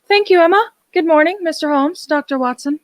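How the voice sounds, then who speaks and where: A woman's voice: high-pitched, fairly quick, dry recording, clean studio sound, natural voice. A woman playing an anxious, humble village mother in a radio drama, plain-spoken, deferential and pleading.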